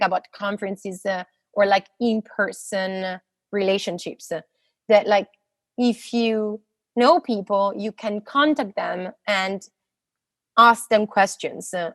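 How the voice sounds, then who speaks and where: A woman's voice: mid-range voice, no room sound. A woman in her thirties with an Italian accent, a physicist answering interview questions casually, hesitant and full of fillers and restarts. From Italy. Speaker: guest, postdoctoral physicist.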